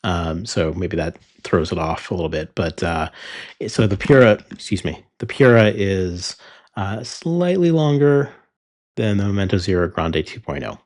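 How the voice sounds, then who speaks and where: A man hosting his fountain pen channel, talking through a pen review in a relaxed way with many ums and uhs. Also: deep voice, normal speed. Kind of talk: casual product review.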